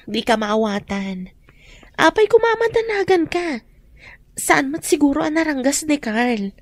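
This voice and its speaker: A young elder sister in an Ilocano radio drama, her voice distinctly high. She is dutiful and guarded but soft-hearted, and speaks with earnest feeling. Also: very animated, a little slow, no echo. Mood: puzzled, concerned.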